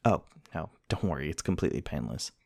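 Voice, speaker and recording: A man's voice: very deep voice, expressive, fast, creaky, a little mellow, husky, no room sound, clean studio sound, full character voice. A man playing an earnest, formal, slightly awkward research scientist in a one-sided ASMR roleplay, speaking politely in wordy, precise sentences. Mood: earnest, reassuring.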